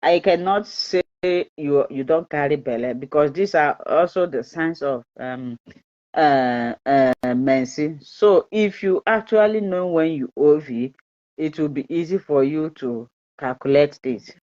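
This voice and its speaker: A woman's voice: low-pitched, unhurried, warm tone. A Nigerian woman whose first language is Igbo, hosting a live-stream fertility talk in a chatty, repetitive way and slipping into pidgin. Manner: chatty, repeats herself, mixes in pidgin, laughs often. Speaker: fertility channel host. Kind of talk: live-stream advice talk, reading viewer comments. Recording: dull lo-fi live-stream audio.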